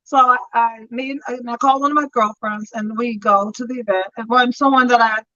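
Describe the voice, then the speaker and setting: A woman's voice: on the high side, fairly even intonation, brisk, pushed and bright, dull audio. A woman in her fifties co-hosting a casual chat show, telling stories with frequent laughter, calling in from a friend's house. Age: 50s. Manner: chatty storyteller, laughs often. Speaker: talk show co-host.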